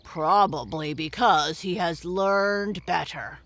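A gruff, self-important old frog croaks out his words slowly and deliberately, as a woman puts on his voice. His voice sits low, loud and heavy. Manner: deep, gruff, slow and pompous.

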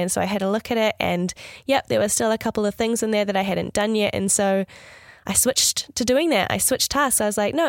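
A woman's voice: medium pitch, no echo, clean studio sound. Woman with a cold, chatty podcast co-host. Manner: chatty, upbeat, thinks aloud with fillers. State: nasal from a cold. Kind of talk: casual podcast chat.

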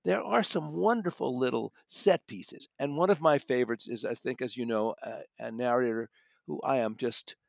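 A man's voice: medium pitch, moderate pace, creaky, no echo, studio quality. A man reviewing books in conversation as a podcast guest, enthusiastic and considered in how he talks. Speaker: audiobook critic and podcast guest.

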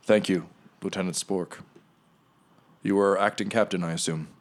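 A man voicing a wounded, dignified male starship captain in a tabletop game, speaking gravely and quietly. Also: low voice, fairly even intonation, moderate pace. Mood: measured.

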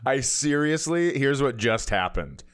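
A man's voice: medium pitch, lively intonation, a little slow. American man, middle-aged, bantering and wry. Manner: profane, wry, joking. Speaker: comedy podcast co-host. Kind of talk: casual comedic banter.

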